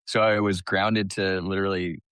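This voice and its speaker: A man from the US East Coast tells personal stories as a podcast guest. His lowish voice is chatty and colloquial, peppered with "like", and recorded close and dry. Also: little pitch movement, normal speed, fairly bright tone, clean tone, no echo. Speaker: podcast guest, small business owner. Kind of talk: casual personal storytelling.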